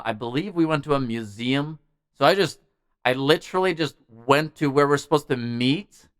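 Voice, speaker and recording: A man's voice: medium pitch, fairly bright tone, smooth, no echo. A man hosting a music show, chatting casually and ramblingly as he answers messages from viewers. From Norway. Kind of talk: casual livestream chat.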